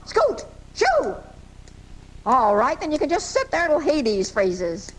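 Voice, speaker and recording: An actress playing a feisty, fretful frail old American spinster who scolds in folksy, indignant style in a live radio drama. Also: female voice, high voice, huge pitch swings, takes it slowly, full character voice. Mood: indignant, scolding. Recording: noisy amateur off-air radio recording from 1984.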